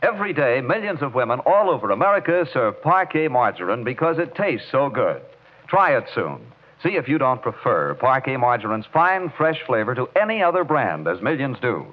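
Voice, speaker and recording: A man's voice: medium pitch, sing-song, moderate pace. A man working as a radio announcer, reading scripted 1940s broadcast copy. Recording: thin phone-like 1940s radio recording.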